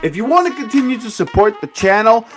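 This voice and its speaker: A woman's voice: a little high, very animated, quick pace. Female outro voice, upbeat singsong read over faint music. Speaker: outro announcer.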